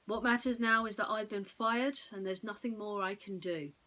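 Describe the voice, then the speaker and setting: A woman's voice: mid-range voice, fairly even intonation, moderate pace, bright, forceful tone, lightly acted. A woman voicing a nervous, apologetic male baker in a bedtime story, deferential and fearful. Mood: resigned.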